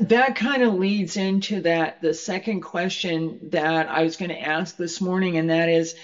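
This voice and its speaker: An interviewer asking questions in a measured, halting way. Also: mid-range voice. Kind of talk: interview, slow deliberate questioning. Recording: muffled, long hall-like reverb.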